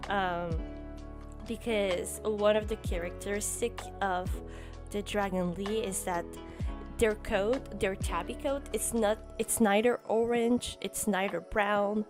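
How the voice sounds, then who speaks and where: A young French Canadian woman talks through her bullet journal drawing in a loose, chatty voice-over, filling pauses with ums and uhs. Her pitch sits at a middling level for a woman. Clear music plays underneath her. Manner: relaxed, rambling, many ums and uhs. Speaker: journaling YouTuber. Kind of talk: voice-over narration of a drawing session. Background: background music.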